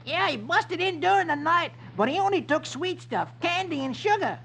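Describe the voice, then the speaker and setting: A man's voice: very high-pitched, lively intonation, moderate pace, creaky, pushed and bright, pitched above the performer's own voice, full character voice. A man voicing an indignant, folksy raccoon storekeeper in a 1950s radio storybook style. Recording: muffled, noisy 1950s radio recording.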